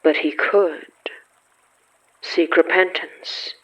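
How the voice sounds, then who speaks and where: A man reading scripted horror fiction as a narrator, solemn and measured with dramatic pauses. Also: fairly high pitch, fairly even intonation, a bit bright, dull audio.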